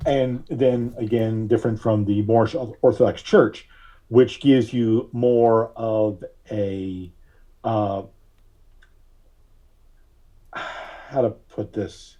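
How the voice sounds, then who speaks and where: A man speaking as a podcast guest in a conversational interview, thoughtful and often halting as he searches for words. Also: on the low side, fairly even intonation, dark tone, dull audio. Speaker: podcast guest, artist and reverend.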